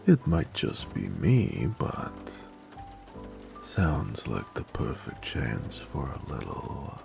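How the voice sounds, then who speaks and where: A man playing a very deep, gravelly noir mobster. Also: huge pitch swings, slow, music in the background, heavily acted. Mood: hushed, seductive. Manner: slangy, drawling, sighing, teasing. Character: big tired noir mobster, flirty, affectionate, wisecracking. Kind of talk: intimate roleplay audio drama, 1940s gangster slang.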